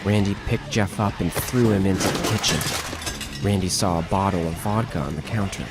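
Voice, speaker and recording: Very deep male horror narrator. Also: normal speed, very noisy. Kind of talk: scripted horror story narration.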